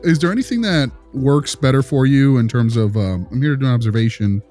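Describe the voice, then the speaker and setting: A man's voice: mid-range voice, brisk, warm tone, faint music behind, natural voice. A man playing an affable, slightly awkward visiting consultant, chatty, informal and rambling, in improvised audio drama. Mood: courteous.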